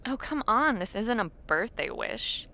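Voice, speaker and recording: A young woman acting an affectionate, teasing university student in an intimate roleplay, talking softly and playfully to the listener late at night. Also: medium pitch, sing-song, a little slow, heavily acted. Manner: soft, playful, teasing, with breathy murmurs.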